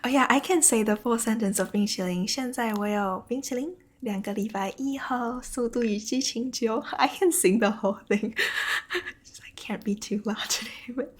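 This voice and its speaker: A woman from Taiwan, a Mandarin speaker, chatting to her stream viewers in a soft, breathy ASMR whisper, giggly and hesitant with many ums. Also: a little high, normal speed. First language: Mandarin Chinese. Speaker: VTuber streamer.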